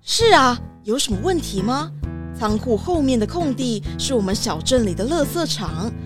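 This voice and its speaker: A woman's voice: on the high side, sing-song, normal speed, music in the background. A woman voicing a friendly, naive, easily flustered small-town mayor in a children's audio drama, courteous and full of exclamations. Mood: unconcerned.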